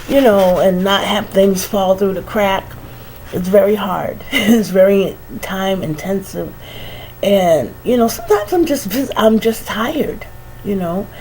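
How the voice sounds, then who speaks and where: A middle-aged woman with a head cold, musing slowly and casually, sighing and laughing softly between many "you know"s. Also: medium pitch, a little hiss or hum, rough recording. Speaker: vlogger. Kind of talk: casual reflective monologue.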